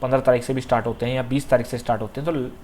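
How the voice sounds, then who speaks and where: A man speaks at a medium pitch, advising students like an informal coaching teacher. He is instructive, restating key points and often tagging on a quick check that they follow. A faint layer of noise sits behind his voice. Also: little pitch movement, darkish tone. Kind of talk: informal exam-prep advice to students.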